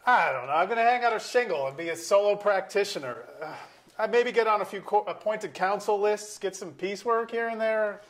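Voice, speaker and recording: A man acting a wry, aggrieved, out-of-work middle-aged lawyer in a staged comedy-drama, halting and self-deprecating. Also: sits high, fairly bright tone. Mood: resigned. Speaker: actor in a staged reading. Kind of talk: theatrical dialogue reading. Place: museum auditorium stage.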